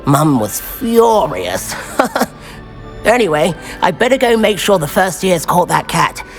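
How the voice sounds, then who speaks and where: A man's voice: high-pitched, sing-song, takes it slowly, faint music behind, exaggerated voice. A man with a British accent playing a friendly, chatty, easy-going teenage schoolboy in a video game, earnest in manner. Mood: enthusiastic. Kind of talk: video game character dialogue.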